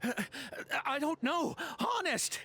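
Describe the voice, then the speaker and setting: A man's voice: very high voice, unhurried, sharp tone, exaggerated voice. A man playing a nervous, stammering video game henchman who pleads as he confesses. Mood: panicked pleading. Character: nervous male henchman confessing under pressure. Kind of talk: video game dialogue acting.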